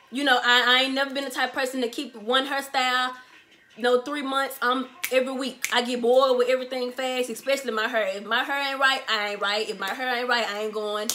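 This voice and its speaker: A twenty-year-old woman from Memphis with a Southern accent, talking straight to camera in an animated, slangy way and repeating herself for emphasis. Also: sits high, a little hiss or hum. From Memphis, Tennessee. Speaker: vlogger, small business owner. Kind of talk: casual Q&A vlog to camera. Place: bedroom, sitting on a bed, indoors.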